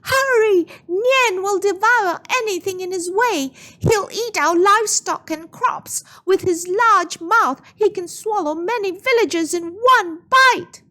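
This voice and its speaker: A woman voicing a frantic village mother who shouts urgent warnings to flee a monster. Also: extremely high pitch, takes it slowly, hardly any pauses, voice pushed up, exaggerated voice. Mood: urgent alarm.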